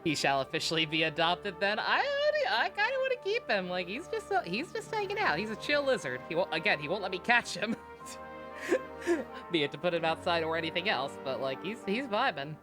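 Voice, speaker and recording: A woman's voice: mid-range voice, wildly swooping pitch, brisk, music in the background. A woman giving casual live-stream game commentary in a sarcastic, irreverent way, swearing freely.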